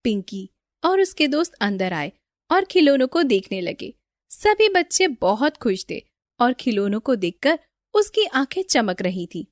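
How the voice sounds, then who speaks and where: A woman's voice: fairly high pitch, sing-song, unhurried, no echo, studio quality. A woman storyteller reading a scripted children's moral story as narrator.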